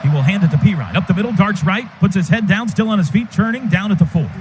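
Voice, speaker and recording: A man doing excited radio play-by-play of a football game in clipped, rapid-fire calls. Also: sits high. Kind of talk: live football commentary. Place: stadium radio booth. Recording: noisy stadium broadcast booth.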